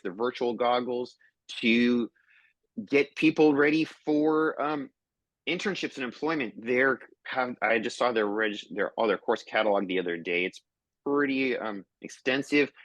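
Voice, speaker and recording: A man's voice: medium pitch, fairly bright tone, dry recording, poor audio. A man hosting an online webinar over a video call, upbeat and loose with fillers as he introduces people and sponsors. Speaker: webinar host.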